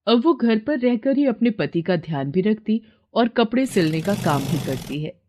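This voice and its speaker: A woman's voice: medium pitch, sing-song, normal speed, darkish tone, a little hiss or hum. A woman narrating a scripted moral story as a storyteller.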